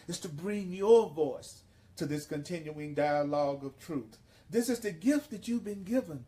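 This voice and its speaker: A man giving an inspirational spiritual sermon, speaking emphatically and deliberately with repeated phrases. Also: high voice, a little mellow. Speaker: spiritual teacher leading a course.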